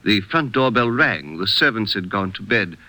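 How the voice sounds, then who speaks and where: A man's voice: on the low side, expressive, normal speed, sharp tone, dry recording. A man playing a courteous retired Egyptian statesman in an old-time radio drama, with formal, gracious phrasing. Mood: courteous, grave.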